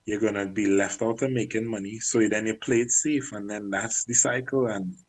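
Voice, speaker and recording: A man working as a music manager, chatting candidly in an interview and often clearing his throat. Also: fairly low pitch, steady tone, moderate pace, low-quality recording. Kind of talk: casual interview talk.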